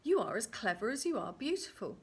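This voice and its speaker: A woman's voice: on the high side, very animated, brisk, slightly rough, full character voice. A woman voicing a delighted but demanding king in a storybook tale. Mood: pleased, demanding. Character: delighted, greedy king praising and commanding.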